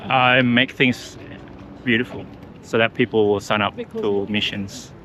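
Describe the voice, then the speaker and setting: A man's voice: fairly low pitch, fairly even intonation, a little slow, background noise. A young man from Indonesia with an Indonesian accent, talking casually in an interview and often restarting his sentences. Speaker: designer for a mission group. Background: soft background music.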